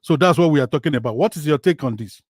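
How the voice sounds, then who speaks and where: A male host with a mid-pitched voice who hesitates and restarts often while welcoming and questioning callers. His voice is recorded dry and close, with no room echo. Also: expressive, quick pace, a little mellow, breathy edge. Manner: hesitant, many fillers and restarts. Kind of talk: live call-in talk show.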